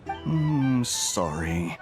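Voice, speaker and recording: An actor playing a shady, defiant arms smuggler in a video game. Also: male voice, medium pitch, big pitch swings, extremely slow, music in the background. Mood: guarded haggling.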